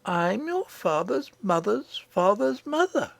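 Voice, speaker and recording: A woman voicing a kindly, dignified old great-great-grandmother queen in a storybook audiobook, gentle, gracious and composed. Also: mid-range voice, very animated, takes it slowly, creaky, no room sound, voice pushed up, full character voice. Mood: kindly, patient.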